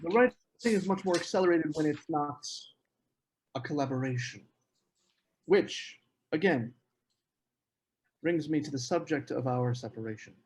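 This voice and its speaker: A man playing a brooding, bitter novelist in a screenplay table read, with measured, deliberate phrasing. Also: fairly high pitch, lively intonation, a little mellow, husky, poor audio. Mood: cool, deliberate. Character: brooding male novelist, cool and bitter in marriage.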